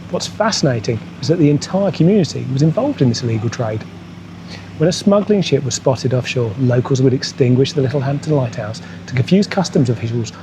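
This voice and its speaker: A man's voice: medium pitch, noisy background. A man hosting a motorcycle travel vlog, narrating local history and legends in a storytelling style.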